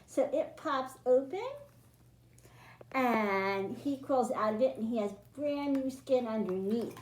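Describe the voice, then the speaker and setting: Female storytime host, higher-pitched, teaching small children in simple terms; echoing room. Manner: simple explanations addressed to children, with questions to them. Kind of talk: children's storytime read-aloud and science lesson. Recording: roomy, echoing indoor sound.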